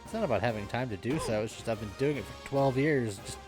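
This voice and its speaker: A man's voice: medium pitch, quick pace. A man giving casual, dryly jokey, mock-complaining commentary as he streams a video game. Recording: music in the background. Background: game music.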